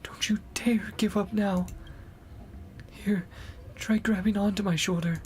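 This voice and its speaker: A woman playing a desperate, loyal young warrior in a dramatic game scene, with a breathy voice. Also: mid-range voice, steady tone, measured pace, darkish tone, lightly acted. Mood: defiant, grieving.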